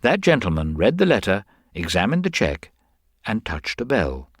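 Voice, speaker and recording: A man giving a dramatic, expressive narration of a crime story. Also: lowish voice, normal speed, husky, no echo, clean studio sound.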